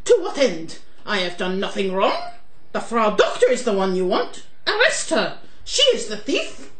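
A woman playing an excitable, bumbling villain's henchwoman in an amateur audio drama, exaggerated and melodramatic. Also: medium pitch, moderate pace, reverberant. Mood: indignant. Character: bumbling, excitable female henchwoman, obedient and treacherous.

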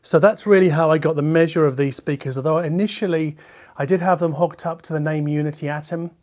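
A middle-aged man, a reviewer talking conversationally to camera in a measured, explanatory way, qualifying his points as he goes. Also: a little high, fairly even intonation, darkish tone. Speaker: hi-fi reviewer and video host. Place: medium-sized living room listening space, indoors.

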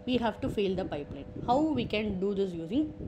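A woman presents an informal software walkthrough at a medium pitch. She explains step by step, restating each point as she goes. The recording has clearly audible noise behind her voice. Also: very animated, brisk. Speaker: tutorial presenter. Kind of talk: informal screen-share software tutorial.

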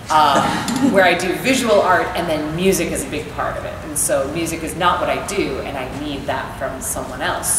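Low-voiced woman in an echoey hall. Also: background noise. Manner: casual, rambling, many fillers. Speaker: panelist, artist. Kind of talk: panel discussion. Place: large convention hall. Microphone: handheld mic, far camera. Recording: echoey hall, distant mic.

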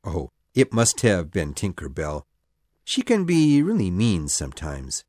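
A woman's voice: extremely low pitch, wildly swooping pitch, measured pace, darkish tone, no echo, studio quality, pitched below the performer's own voice, full character voice. A woman voicing a boy named Peter, a forever-young leader of lost children, in a storybook reading. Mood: earnest.

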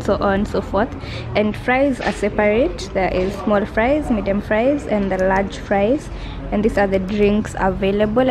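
A young woman in her twenties with a Kenyan accent, chatting brightly to a camera held at arm's length, first in a car and then at an outdoor restaurant. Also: medium pitch, noisy background. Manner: bubbly, chatty, mixes in Swahili words. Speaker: vlogger. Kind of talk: casual vlog chat. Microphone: handheld camera at arm's length.

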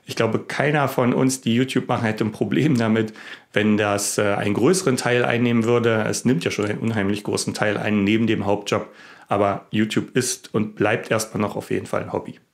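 A man's voice: lowish voice, fairly even intonation, fairly quick, gravelly. A man from Berlin, Germany, hosting a tech vlog and talking casually to the camera. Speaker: YouTube tech vlogger, host.

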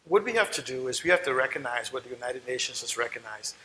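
A man's voice: mid-range voice, lively intonation, fast, sharp tone. Emphatic Bahamian man in a hall. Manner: emphatic, visionary, repeats phrases. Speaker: panelist. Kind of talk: conference panel talk. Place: large conference hall stage. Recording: long hall reverb, faint noise.

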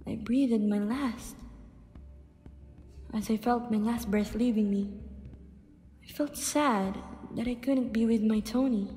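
A gentle older country woman played by an actress testifies in a radio drama. Her voice is a little high, wistful and hesitant. It carries in a long reverb. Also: lightly acted. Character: gentle, humble older countryside wife, deceased witness.

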